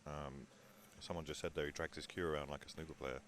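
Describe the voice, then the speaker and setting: Very deep-voiced pool commentator. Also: male voice, steady tone, fast. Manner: chatty, tells anecdotes about players. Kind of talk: live sports commentary.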